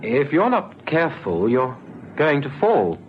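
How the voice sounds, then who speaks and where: An actor playing a gentle, anxious police constable in a vintage radio drama, hesitant and careful. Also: male voice, medium pitch, wildly swooping pitch, unhurried. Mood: anxious, coaxing. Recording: dull vintage radio recording, faint noise.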